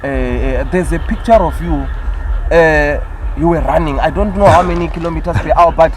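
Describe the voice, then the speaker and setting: Man, podcast host, fairly high voice, formal and polite. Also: normal speed, noisy background. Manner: formal, addresses guest by title, steady murmured backchannels.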